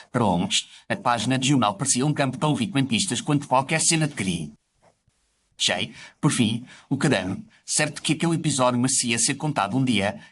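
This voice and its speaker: An actor playing a reflective gentlemanly doctor who narrates his memoirs in formal, literary first-person storytelling. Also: medium pitch, slight background noise, close to an everyday voice.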